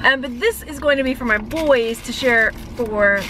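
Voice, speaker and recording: High-voiced woman chatting on a vlog. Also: moderate pace. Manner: chatty, informal. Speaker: vlog host. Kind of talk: casual vlog chat with a friend. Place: inside a car, outdoors, and at a restaurant patio. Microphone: camera at arm's length. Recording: noisy car and outdoor handheld camera audio.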